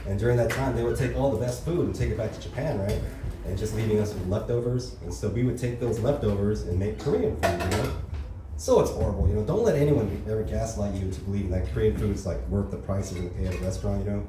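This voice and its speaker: A man's voice: lowish voice, fast talker. A young man doing open mic stand-up, crude and casual, tagging lines with "you know" and "right". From Los Angeles area. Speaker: stand-up comedian. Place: comedy club stage, heard from far off. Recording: echoey room, distant mic, audible noise.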